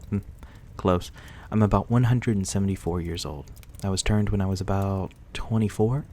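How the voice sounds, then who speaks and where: Casting: male actor as a gentle, courteous vampire host for ASMR roleplay, low and mellow, soft old-fashioned politeness. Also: slight background noise, natural voice. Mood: wryly amused. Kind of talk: intimate ASMR roleplay acting.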